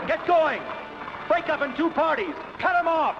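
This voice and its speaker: An actor playing a frantic henchman who yells urgent orders in an old action film. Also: very high-pitched, moderate pace. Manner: shouted, urgent. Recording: dull, echoing film track, loud music.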